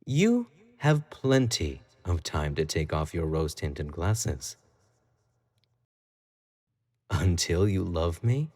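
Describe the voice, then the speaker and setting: A man's voice: deep voice, very animated, takes it slowly, a little mellow, heavily acted. A man playing an obsessive, jealous young pop idol in a dramatic roleplay monologue, speaking intimately to the listener and swinging between sweet pleading and cold condescension. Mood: menacing, possessive.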